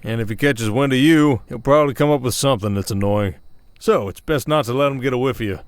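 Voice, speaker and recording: A man's voice: medium pitch, fast, background noise, close to an everyday voice. A man playing a weary, protective werewolf sheriff of the Old West in an ASMR roleplay, with a folksy American Southern accent and a hesitant storytelling manner. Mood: cautious, wry.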